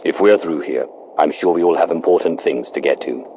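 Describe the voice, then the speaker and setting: An actor playing a commanding, grim dark lord in a video game, speaking with measured authority. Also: male voice, rumbling low. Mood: cold, calculating. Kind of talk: scripted video game dialogue.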